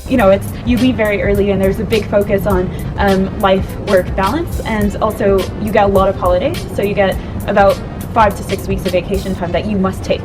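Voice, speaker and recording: A woman's voice: medium pitch, steady tone, fairly quick. A woman with an American accent explaining things in casual conversation as an interviewee. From Albuquerque, United States. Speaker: expatriate interviewee. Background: music.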